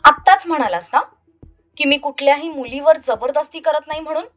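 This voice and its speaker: A woman voicing an angry, defiant young captive bride with a sharp, indignant, emphatic delivery in an audio love story. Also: high-pitched, fairly quick, pitched above the performer's own voice. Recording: music under the voice.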